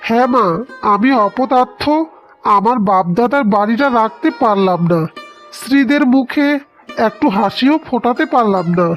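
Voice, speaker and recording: A man voicing a gentle, despairing husband and father in a cartoon, pleading and dejected. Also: very high-pitched, moderate pace, soft background music. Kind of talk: cartoon voice acting.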